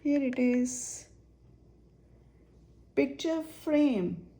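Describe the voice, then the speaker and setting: A woman's voice: high-pitched, animated, extremely slow, dark tone. A woman reading a search-and-find picture book aloud for young children, naming items in short, simple phrases and asking questions.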